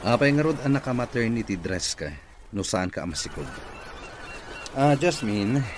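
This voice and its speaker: A man's voice: medium pitch, expressive, moderate pace, natural voice. Man playing a blunt boss, noisy recording. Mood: blunt, stern. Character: blunt, mocking male office boss. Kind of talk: Ilocano radio comedy drama acting.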